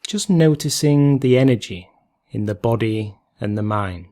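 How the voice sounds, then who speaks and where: A man leading a guided meditation in a calm, gentle, measured way, leaving long pauses between phrases. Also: medium pitch.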